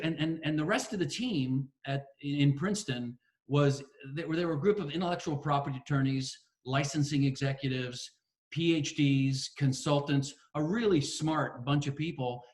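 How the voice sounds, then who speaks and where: An older man from Connecticut, a guest on a video-call interview, telling his story in a measured way from a spacious living room, with frequent restarts. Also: fairly high pitch. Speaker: guest, investor. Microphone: laptop webcam on video call.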